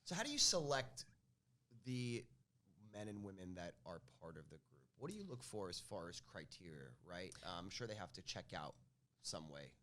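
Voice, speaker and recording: Man with a lively, swooping pitch. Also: moderate pace. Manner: supportive, affirming interjections. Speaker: interview host. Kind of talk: conversational interview.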